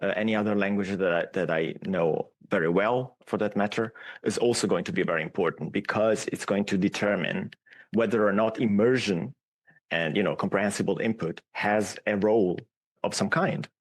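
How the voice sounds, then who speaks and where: Man, native Italian speaker, podcast guest, fairly low voice, reflective explaining. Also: moderate pace. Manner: explains at length, steady and reflective. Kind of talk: casual podcast interview.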